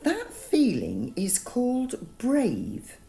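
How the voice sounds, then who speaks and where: Kindly Missus Strawberry, voiced by a woman, very expressive. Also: on the high side, very slow, creaky, voice pushed up, exaggerated voice. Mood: encouraging. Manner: soft, gentle, soothing. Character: kindly, reassuring female strawberry.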